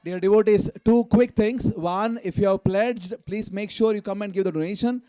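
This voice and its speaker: A man's voice: sits high, moderate pace, warm tone, dry recording. A man making informal announcements to a gathering of devotees as the event's announcer.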